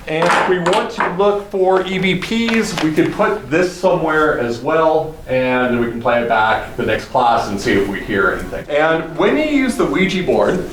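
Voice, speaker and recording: A man giving a class instructions in an old university building, in a relaxed, explanatory way with dry jokes. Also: fairly high pitch. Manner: relaxed, explanatory, dryly joking. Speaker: psychology instructor. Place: old university hallway, indoors. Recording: echoey hallway with noise.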